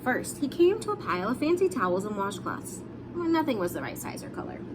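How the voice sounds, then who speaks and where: Lady reading a kids book out loud, high voice, super animated, noisy background. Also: brisk. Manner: explains words simply as she reads. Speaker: storybook reader. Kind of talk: expressive read-aloud for young children.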